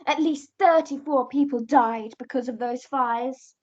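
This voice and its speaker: A woman's voice: high voice, measured pace. A young English woman acting in an amateur radio play as a bossy, opinionated aunt recounting past events dramatically. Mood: opinionated storytelling. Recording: dull lo-fi home recording.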